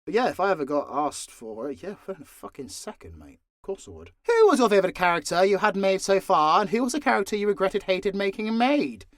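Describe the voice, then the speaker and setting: A man's voice: high-pitched, big pitch swings, a little fast, hardly any pauses, dry recording, clean studio sound. A British man answering fan questions in a casual, unscripted chat, blunt and informal with plenty of swearing. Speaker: voice actor and channel creator answering fan questions.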